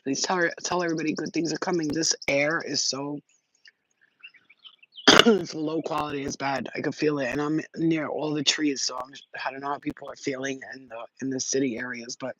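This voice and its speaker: A man giving a casual spiritual reading on a live stream, telling rambling, excitable stories with plenty of swearing. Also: a little high, moderate pace, noisy background. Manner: rambling, profane, excitable storytelling. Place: outdoors near trees.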